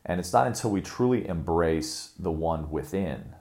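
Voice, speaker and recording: Very deep-voiced earnest man. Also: expressive, creaky, a little mellow. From Chicago. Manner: earnest, measured, many restarts and fillers. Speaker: podcast host. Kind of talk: reflective monologue.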